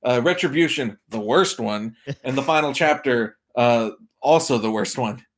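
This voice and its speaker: A man's voice: mid-range voice, moderate pace. A man chatting casually as a podcast guest and film expert, with a wry, tongue-in-cheek manner. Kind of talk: casual chat about movies.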